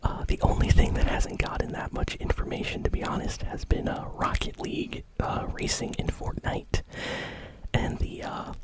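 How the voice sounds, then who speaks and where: A host whispering casual, relaxed video game news in a breathy, unvoiced ASMR style. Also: normal speed, rough recording. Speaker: host of gaming news show.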